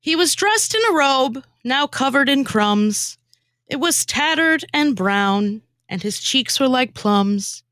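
Bright, animated woman storyteller. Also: sits high, slow, no echo, clean studio sound. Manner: theatrical storytelling. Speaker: former co-host and narrator. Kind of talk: scripted rhyming comedy narration, radio theatre.

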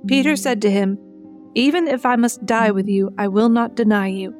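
A woman's voice: a little high, normal speed, a little mellow. Woman reading scripture over guitar music. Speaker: narrator.

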